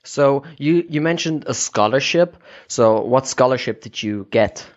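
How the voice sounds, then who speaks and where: A man's voice: mid-range voice, lively intonation, a little slow. A young man from Germany with a German accent, hosting a casual interview podcast as a non-native speaker. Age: young adult. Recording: dull lo-fi podcast audio.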